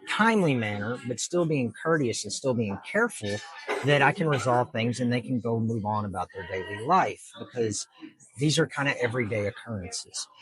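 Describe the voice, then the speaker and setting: A man's voice: medium pitch, fairly bright tone, rough recording. A middle-aged man, a judge answering interview questions earnestly and patiently in plain terms, with lots of fillers. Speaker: district judge, guest.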